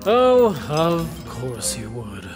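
A man's voice: fairly high pitch, huge pitch swings, drawn-out words, heavily acted. An actor playing a cautious male swordsman in a fantasy audio drama, hesitant and dry. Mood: doubtful. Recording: long hall reverb over music.

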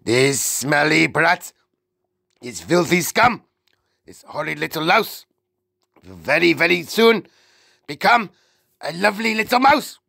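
A narrator voicing a gleeful, evil female witch in a storybook, with a theatrical, drawn-out, gloating delivery. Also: mid-range voice, lively intonation, vocal fry, sharp tone, breathy edge, no room sound, studio quality, voice pushed up, exaggerated voice. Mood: gloating, triumphant.

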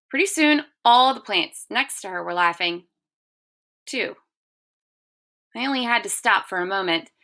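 An eager, helpful schoolboy from a storybook, read aloud by a woman. He tells his tale in a bright, raised voice with keen emphasis. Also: fairly high pitch, heavily acted.

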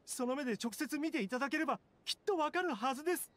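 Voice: sits high, lively intonation, quick pace, fairly bright tone. An actor voicing an earnest, anxious townsman, a younger brother who pleads formally and very politely, in a Japanese anime game. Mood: worried.

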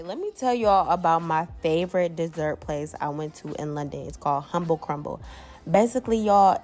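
A woman's voice: on the low side, darkish tone, reverberant. A woman vlogger with a stuffy nose, chatting casually to her viewers while out in a city. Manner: chatty, addresses viewers as y'all. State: congested. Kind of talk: casual vlog chat. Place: outdoors in a city.

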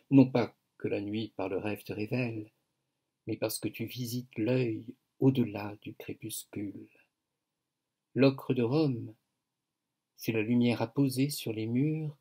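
An older man recites poetry slowly and evenly over a webcam, with little rise or fall in his voice. His tone is husky and unhurried. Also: medium pitch. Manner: slow, even, measured recitation. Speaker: author reading his poem. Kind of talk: slow poetry reading. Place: home study with bookshelves, indoors. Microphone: webcam on a video call.

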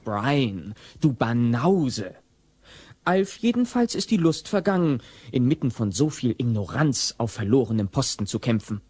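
A man's voice: mid-range voice, very animated, measured pace, a little mellow, breathy edge, no room sound. A man narrating a comedy audio play as a wry, theatrical storyteller. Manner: wry, dramatic.

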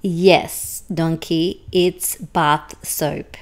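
A woman's voice: deep voice, steady tone, drawn-out words, voice pushed down, exaggerated voice. A woman voicing a vain, fussy, prim female unicorn with dramatic delivery for a children's picture book. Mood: smug.

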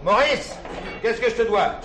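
A man's voice: high-pitched, expressive, measured pace, background noise. An actor playing a jovial middle-aged self-made produce wholesaler and doting father in a French radio drama, colloquial and chuckling. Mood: jovial, protesting.

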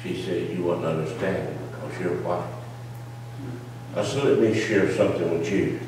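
A man's voice: deep voice, normal speed, a little mellow, a little hiss or hum. Man in his 60s preaching in an echoey church. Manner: preacherly, building to emphatic declarations. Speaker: audience member at a town hall. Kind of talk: impassioned public speech. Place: large church sanctuary. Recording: echoey church hall from far off.

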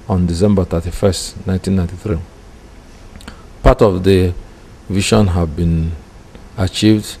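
A man's voice: deep voice, mellow, rough recording. A Nigerian-accented man in his fifties, an apostle, recounting his story reflectively in an interview, close to the microphone indoors. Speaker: church founder, apostle. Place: small curtained room indoors. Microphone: handheld-style microphone close in front.

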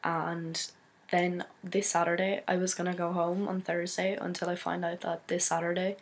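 A young woman from Donegal with an Irish accent, hoarse from a cold, chatting casually to her laptop webcam in a small bedroom and rambling with many fillers. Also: on the low side, little pitch movement, moderate pace. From Donegal, Ireland. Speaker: photographer vlogger. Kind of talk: casual chat to camera.

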